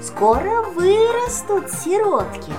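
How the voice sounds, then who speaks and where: A woman's voice: very high voice, very animated, takes it slowly, music in the background, heavily acted. A woman storyteller telling a children's tale in verse in a singsong manner. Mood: storytelling.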